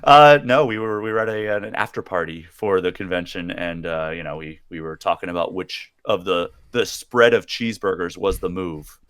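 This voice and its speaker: A man with a fairly low voice chats loosely on a livestream and laughs a lot. His rambling talk has many restarts. The sound is dry and close, with no room behind it. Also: moderate pace, few pauses, a bit bright, clean tone, no echo. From Maryland, USA. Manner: chatty, rambling, laughs easily. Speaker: magazine livestream co-host. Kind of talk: casual chat.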